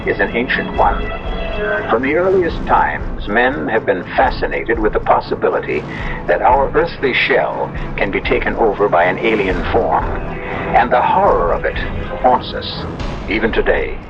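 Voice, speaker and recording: Low-pitched solemn male radio host. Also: expressive, over music. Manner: solemn, theatrical, deliberate phrasing. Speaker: host narrator of a radio mystery series. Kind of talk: scripted dramatic radio narration. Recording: dull vintage radio recording.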